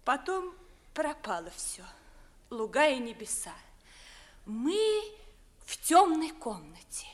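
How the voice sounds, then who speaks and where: A woman's voice: high-pitched, big pitch swings, takes it slowly, pauses often, heavily acted. An actress playing a headstrong, dreamy young noblewoman in love, declaiming verse in 1950s theatrical radio style. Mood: breathless, dreamy.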